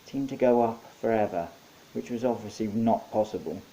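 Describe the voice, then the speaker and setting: A man's voice: fairly low pitch, fairly quick, dark tone, rough recording. A man narrating his own short story in a wry, conversational storytelling manner. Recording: faint background hiss.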